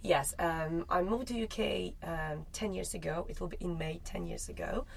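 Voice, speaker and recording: Creaky-voiced woman with a soft Russian accent. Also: fairly low pitch, moderate pace, slight background noise. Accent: softened Russian. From Latvia, Russian family. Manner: playful, jokey, chatty. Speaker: guest, public speaker who works with children. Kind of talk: casual group conversation.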